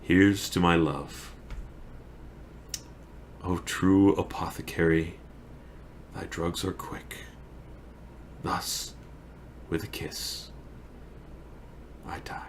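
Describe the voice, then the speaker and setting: A low, forceful voice of a man acting as a despairing young lover in a Shakespearean tragedy. The delivery is solemn and declamatory, with slow, drawn-out phrases over faint background noise. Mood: despairing farewell. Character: grieving lovesick young man, despairing Shakespearean tragic hero. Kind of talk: theatrical Shakespearean monologue reading.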